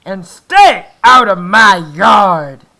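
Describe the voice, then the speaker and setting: A woman voicing a grumpy male cactus in a children's storybook, yelling curtly and indignantly at visitors. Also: mid-range voice, lively intonation, extremely slow, gravelly, heavily acted. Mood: angry, yelling. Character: grumpy male cactus who hates visitors, shouting angrily.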